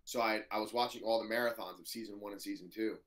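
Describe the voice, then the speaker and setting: A man in his thirties with a hoarse voice, a jiu-jitsu professor telling his story as a guest in a casual interview, loose and full of fillers. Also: lowish voice, steady tone, fast, fairly bright tone, poor audio. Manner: loose, filler-heavy storytelling, swears freely.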